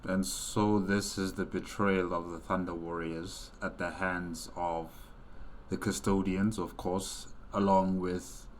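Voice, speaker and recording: A man with a low voice hosts a reaction video. He comments casually as he goes, with faint noise in the background. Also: level tone, slow.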